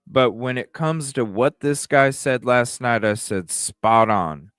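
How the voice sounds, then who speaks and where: A man's voice: mid-range voice, slow pace, fairly bright tone, clean tone, no echo, clean studio sound, soft background music. A man hosting a livestream with casual, speculative commentary, repeating phrases and asking rhetorical questions. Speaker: livestream host.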